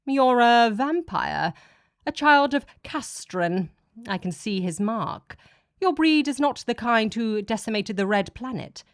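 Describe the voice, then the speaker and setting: A woman's voice: fairly high pitch, animated, measured pace, no echo, voice pushed up. A menacing false god of an alien people, acted out by a woman for an audiobook. He is a cloaked tyrant who sneers and commands with open contempt. The sound is dry, with very little room. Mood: menacing disgust. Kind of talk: dramatic audiobook character voice.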